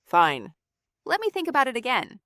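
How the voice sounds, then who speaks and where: Woman acting a battle-worn lady general, anime dub, higher voice, very dry studio sound. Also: huge pitch swings, normal speed, bright, forceful tone, no room sound, exaggerated voice. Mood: resolute. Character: former war general woman, weary, commanding, quietly attached to comrades.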